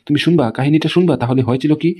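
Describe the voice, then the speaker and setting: An aggrieved husband and boss from a comic cartoon , acted by a man, explains himself in a mellow voice at breakneck speed. He is emphatic and scheming, forever checking that he is being understood. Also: a little high, very fast, husky. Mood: scheming, self-assured.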